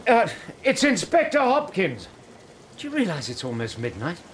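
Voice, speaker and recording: An English actor playing a genial, mildly fussy gentleman doctor and loyal companion in a radio drama. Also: high voice, wildly swooping pitch, moderate pace, slight background noise. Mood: surprised, polite. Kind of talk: BBC radio drama acting.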